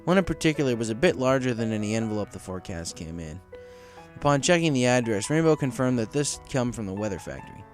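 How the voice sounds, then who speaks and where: A man's voice: medium pitch, lively intonation, quick pace. A man narrating a scripted story as an audiobook reader, in a dramatic storytelling manner. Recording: music behind the voice.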